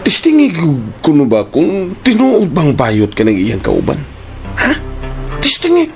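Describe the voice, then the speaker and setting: An actor playing a fussy, excitable, stammering TV station boss in a radio drama, with a Cebuano Filipino accent. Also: male voice, fairly high pitch, measured pace, gravelly, heavily acted. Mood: scheming. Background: background music.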